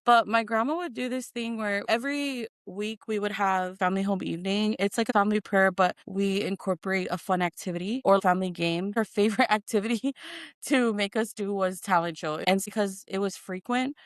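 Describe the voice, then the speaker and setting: A woman's voice: mid-range voice, steady tone, moderate pace, clear, resonant tone, dry recording, studio quality. A woman in her thirties from Hawaii and American Samoa, sharing personal stories casually and expressively as an interview guest. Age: 30s. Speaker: interview guest, content creator and performer.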